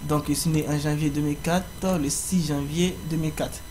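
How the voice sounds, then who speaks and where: A young man giving a screen-recorded walkthrough of an online application, pausing often with fillers as he explains each step. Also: a little high, steady tone, darkish tone. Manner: halting, frequent fillers. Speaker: tutorial presenter. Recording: faint background noise.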